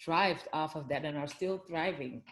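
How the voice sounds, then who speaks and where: A woman from the Netherlands with a Dutch accent, hosting a podcast in a chatty, animated way with many fillers and frequent laughs. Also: low voice, moderate pace. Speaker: podcast host. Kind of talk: casual conversational chat. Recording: echoey room.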